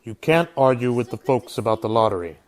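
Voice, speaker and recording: Man playing a resigned, mid-pitched village schoolteacher. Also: expressive, fairly quick, close to an everyday voice. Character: resigned village schoolteacher, rule-abiding outsider. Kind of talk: radio drama acting.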